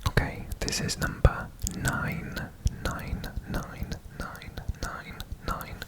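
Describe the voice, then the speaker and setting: A person from Wales whispering in a breathy, deliberate way for ASMR, repeating words. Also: a bit bright. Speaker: ASMR host presenting a personal guessing quiz.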